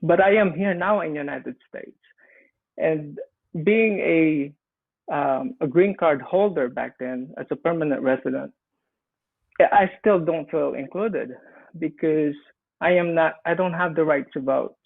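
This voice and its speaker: A man's voice: on the high side, creaky. Filipino-accented man on a tinny line. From Philippines. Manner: earnest, halting with frequent restarts. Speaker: immigrant small business owner. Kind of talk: panel discussion storytelling.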